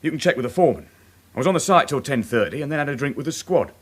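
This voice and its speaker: Guy playing young suspect, radio crime drama, cocky n glib, quick talker, very animated. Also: mid-range voice, natural voice. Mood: defensive. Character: young working-class male suspect, cocky, defensive.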